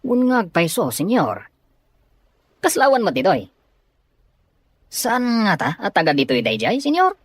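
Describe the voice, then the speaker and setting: A woman's voice: mid-range voice, wildly swooping pitch, measured pace, long pauses, slightly rough, no echo, full character voice. A woman voicing a fawning, self-important comic majordomo, obsequious and pompous, in a radio drama. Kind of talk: Filipino radio drama acting.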